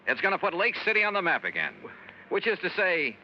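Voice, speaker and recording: An American man playing a shrewd, self-assured detective in 1950s radio drama, speaking in a wry, knowing, deliberate way. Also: sits high, huge pitch swings, slight background noise. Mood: wry, firm. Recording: dull 1950s radio recording, faint music.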